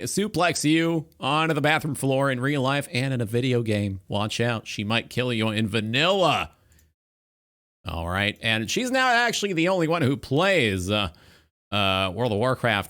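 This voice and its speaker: Forceful, animated man hosting a stream. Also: medium pitch. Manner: profane, hyped. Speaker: talk show host and streamer. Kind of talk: casual commentary over played clips.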